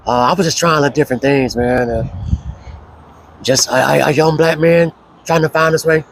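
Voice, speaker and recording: A man with a hoarse voice, casually telling his life story as an interview guest, slow and relaxed with many fillers. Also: on the high side, slight background noise, rough recording.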